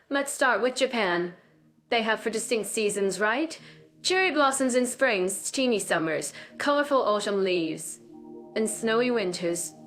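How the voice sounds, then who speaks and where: A woman co-hosting a podcast in an upbeat, bubbly way, her voice sitting a little high. She sounds scripted and chatty, with soft music playing faintly behind her. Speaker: podcast co-host.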